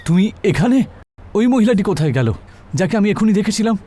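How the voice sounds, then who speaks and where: An actor playing a suspicious, demanding master of the house who questions and gives orders in a horror story. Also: male voice, high-pitched, lively intonation, a little fast, dark tone.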